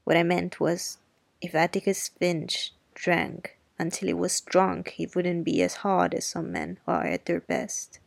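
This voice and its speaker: A woman reading as Miss Maudie, gravelly and grim. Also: fairly low pitch, no room sound, full character voice. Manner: slow, dry and grim. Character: wry, sharp-tongued middle-aged Southern woman neighbor. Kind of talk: audiobook character reading.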